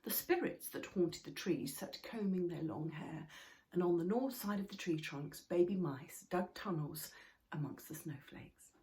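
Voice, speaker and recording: A woman reading a children's book aloud in an expressive way, sometimes dropping to a whisper. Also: lowish voice, measured pace. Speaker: storybook reader.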